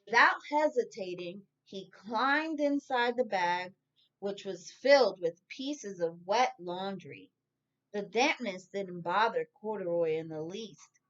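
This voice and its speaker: A woman teacher reading a storybook aloud to young children in a deliberate, instructive way. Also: mid-range voice, fairly bright tone, muffled recording.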